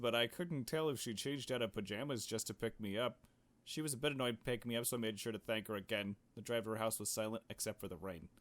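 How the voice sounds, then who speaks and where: A man's voice: lowish voice, a bit bright. A man reading a visual novel aloud and commenting casually in a slangy, informal way. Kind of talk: casual gameplay commentary and narration.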